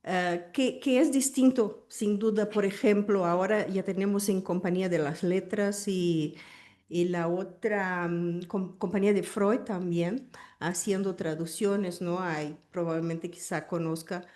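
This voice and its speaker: Lady speaking spanish on a panel, slow and hesitant, warm low-key voice. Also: fairly even intonation. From Brazil. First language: Portuguese. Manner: hesitant, searching for words with many fillers. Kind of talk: panel discussion.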